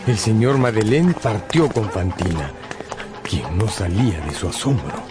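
Animated low-voiced male storyteller. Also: unhurried, over music. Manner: storytelling, dramatic emphasis. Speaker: narrator, storyteller. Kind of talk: expressive radio drama narration.

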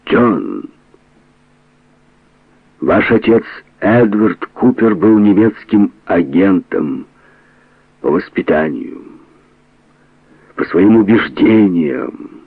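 A man voicing a grave older man who solemnly explains painful family secrets in an audiobook, measured and emphatic. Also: on the low side, expressive, creaky, breathy edge, no echo, narrow, tinny audio, exaggerated voice.

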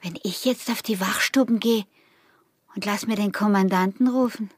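An actress playing a young, defiant and teasing peasant wife in a radio drama, with a husky, breathy voice and an Austrian rural dialect. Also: female voice, mid-range voice, moderate pace, dry recording, clean studio sound, close to an everyday voice. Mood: quiet taunting. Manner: mocking, provocative.

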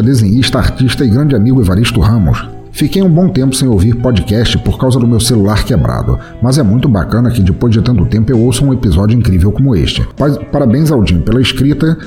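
A man's voice: mid-range voice, mellow. A man with a Brazilian accent hosting a podcast in a chatty, effusive, informal way, reading and answering messages. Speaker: podcast host. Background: music bed.

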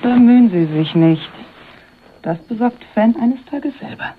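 A noisy, narrow-band radio play recording features a cool, coy woman. Her voice is mid-pitched and swoops freely as she teases and brushes off an admirer. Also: expressive, a little slow. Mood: cool, teasing. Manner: coy, dismissive, teasing. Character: cool, teasing woman keeping an admirer at bay. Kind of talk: German radio crime drama acting. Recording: phone-like old radio drama, clear noise.

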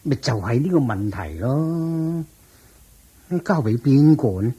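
An actor playing a wealthy old merchant and landlord in a Cantonese radio drama, with a drawn-out delivery of chuckles and sighs. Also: male voice, medium pitch, animated, mellow, a little hiss or hum. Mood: worried, weary.

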